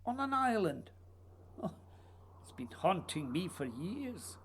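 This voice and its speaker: An actor playing an intense, whimsical playwright in a radio play, theatrical and emphatic, with a husky voice. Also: male voice, high-pitched, sing-song, takes it slowly, fairly bright tone, a little hiss or hum, exaggerated voice. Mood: dreamy, haunted. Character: intense, whimsical Scottish playwright, insistent and dreamy. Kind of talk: theatrical radio drama acting.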